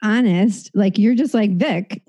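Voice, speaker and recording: A woman's voice: mid-range voice, unhurried, warm tone. A woman co-hosting a casual podcast chat, telling a story with lots of laughter and filler words. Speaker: podcast co-host.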